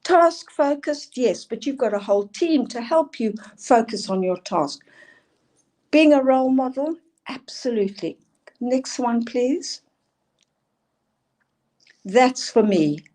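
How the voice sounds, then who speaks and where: A woman's voice: a little high, expressive, slow, long pauses, darkish tone. An older woman from South Africa with a South African accent, earnestly presenting a talk on school leadership. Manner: earnest, emphatic, asks for next slides.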